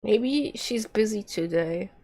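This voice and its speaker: Slow-paced woman streaming a game. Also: mid-range voice, expressive, warm tone, husky. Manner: joking, sarcastic, swearing. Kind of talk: casual livestream commentary on a game.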